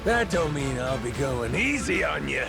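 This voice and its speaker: Cocky pirate captain in a game, high theatrical voice, swings all over, loud music behind. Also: male voice, big pitch swings, moderate pace, vocal fry. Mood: taunting. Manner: folksy slang, taunting, theatrical. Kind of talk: video game voice acting.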